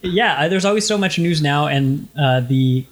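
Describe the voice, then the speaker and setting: A man's voice: fairly high pitch, animated, a little slow. A young man with a light tenor voice, co-hosting a podcast in casual, rambling chat with many fillers. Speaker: podcast co-host.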